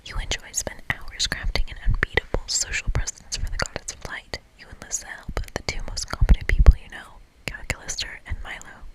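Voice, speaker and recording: ASMR gamer, fully whispered, breathy, reading narration softly with casual asides. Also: a little fast, hardly any pauses, poor audio. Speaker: ASMR creator. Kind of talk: whispered ASMR game playthrough.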